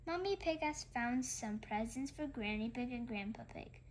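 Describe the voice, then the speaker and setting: A woman's voice: fairly high pitch, lively intonation, normal speed, a little hiss or hum. A woman reading a children's story aloud as a narrator. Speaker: storybook reader.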